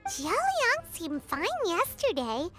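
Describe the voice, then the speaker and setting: An excitable little game fairy with an extremely high, squeaky voice that bounces around in pitch. Curious and childlike, the creature exclaims and questions over clearly audible music. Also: female voice, slow, heavily acted. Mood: puzzled, surprised. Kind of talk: video game voice acting.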